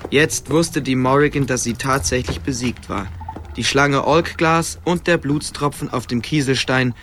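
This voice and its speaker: A man's voice: mid-range voice, unhurried, a bit bright, a little hiss or hum. A man narrating a radio drama as a scripted, dramatic storyteller. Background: faint music.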